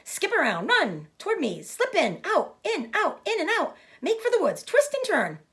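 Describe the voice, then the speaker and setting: A woman voicing a bossy, excitable goose in a storybook read-aloud, calling out repeated urgent orders. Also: very high-pitched, moderate pace, voice pushed up, full character voice. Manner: frantic, repetitive, urgent commands.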